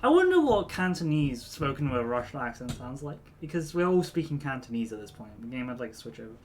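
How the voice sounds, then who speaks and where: A man's voice: mid-range voice, big pitch swings, fast talker, slight background noise. A man streaming a game, talking casually out of character in a rambling, stammering way with lots of fillers.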